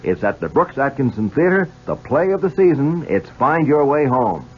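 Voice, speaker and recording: An American man reading a scripted 1970s radio commercial as an announcer, with a husky voice. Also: medium pitch, sing-song, normal speed. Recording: tinny, narrow old radio broadcast.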